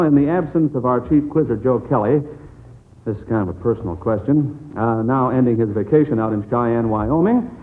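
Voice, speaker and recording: A man hosting a 1940s radio quiz as substitute quizmaster, chatting with children in a folksy, chummy way. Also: mid-range voice. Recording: tinny, noisy old radio broadcast.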